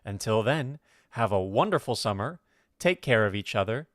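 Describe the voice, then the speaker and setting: A man's voice: lowish voice, animated, normal speed, dry recording. A man announcing a radio show in a scripted sign-off, recorded close on the mic.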